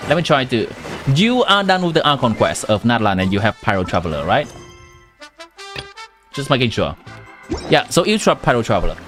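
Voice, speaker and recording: Lively Vietnamese-accented male streamer. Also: medium pitch, moderate pace, long pauses. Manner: chatty, answering chat while giving game advice. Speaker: game streamer. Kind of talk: casual live-stream chat. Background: game music.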